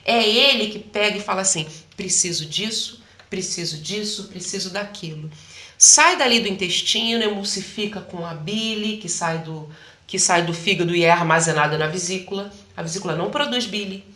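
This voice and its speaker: A woman, a health practitioner, explaining things in an animated interview chat and often acting out quoted voices. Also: mid-range voice, normal speed, reverberant.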